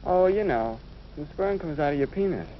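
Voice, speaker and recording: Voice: mid-range voice, expressive, moderate pace, natural voice. A young male performer playing a knowing teenage boy who talks casually and confidingly in an educational film. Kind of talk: scripted educational film dialogue. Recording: dull old film soundtrack, faint noise.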